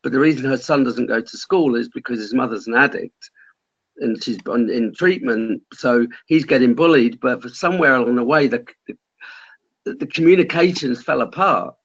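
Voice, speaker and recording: A man's voice: mid-range voice, normal speed, a bit bright, slightly rough. A man explaining his work on a conference call in a plain-spoken, rambling, colloquial way. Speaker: caseworker with vulnerable clients. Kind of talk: explanatory casual talk on a call. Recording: dull, lo-fi conference call audio.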